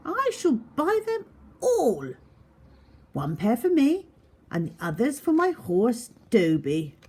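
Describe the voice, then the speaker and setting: A woman voicing a pompous, flamboyant gentleman in a children's storybook, bellowing theatrically and gushing. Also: a little high, huge pitch swings, slow pace, breathy edge, voice pushed up, full character voice. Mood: grand, delighted.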